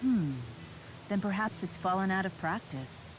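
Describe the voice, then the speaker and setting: A woman's voice: medium pitch, lively intonation, measured pace, close to an everyday voice, poor audio. A woman voicing a composed, curious young spirit woman with formal phrasing in a video game cutscene. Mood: composed, matter-of-fact. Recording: music under the voice.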